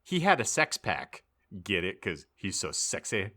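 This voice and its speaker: A man narrates a comic audiobook in a deep, bright-edged voice. His pitch swings widely as he reads, giving it a theatrical, mock-serious delivery. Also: wildly swooping pitch, a little slow. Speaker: podcast host reading aloud.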